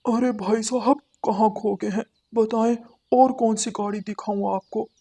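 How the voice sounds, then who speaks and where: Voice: mid-range voice, steady tone, measured pace, dark tone, slightly rough. A performer voicing an eager male bird who sells toys, polite and coaxing, in a moral-story cartoon. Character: eager male bird toy-shop salesman.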